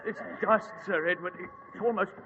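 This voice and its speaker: Nervous, high-voiced explorer's assistant played by a man. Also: fairly even intonation, normal speed, muffled recording, natural voice. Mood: anxious. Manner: anxious, hesitant, deferential. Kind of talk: old-time radio drama acting. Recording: echoing, hissy old radio recording.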